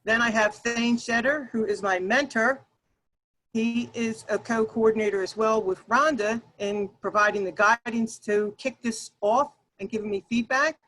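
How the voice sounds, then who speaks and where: A woman with a loud, projecting voice leads an online workshop, addressing her listeners directly. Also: a little high, fairly even intonation, normal speed, a little hiss or hum, low-quality recording. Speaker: workshop leader and Toastmasters speaker. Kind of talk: workshop presentation to an online group. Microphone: video call.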